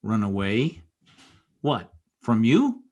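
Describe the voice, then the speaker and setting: A rough, husky voice of an actor playing a brooding young male outcast. The delivery swings widely in pitch, intense and wounded in the old-time radio drama manner. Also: big pitch swings, slow, darkish tone. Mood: bitter passion.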